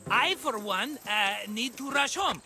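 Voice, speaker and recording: An actor playing a middle-aged schemer in a video game, glib, theatrical and ingratiating. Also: male voice, extremely high pitch, lively intonation, unhurried, over music, heavily acted. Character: wheedling, theatrical middle-aged Greek schemer, slippery and cheerful. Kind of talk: video game cutscene acting.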